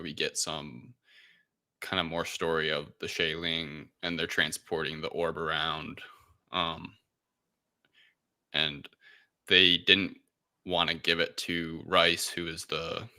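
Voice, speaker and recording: Male podcast host, low-pitched and strikingly bright, forceful voice, loose informal recap delivery. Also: flat delivery, slow pace, pauses often, dry recording, clean studio sound. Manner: informal, loosely improvised retelling with quoted asides. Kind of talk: casual film recap chat.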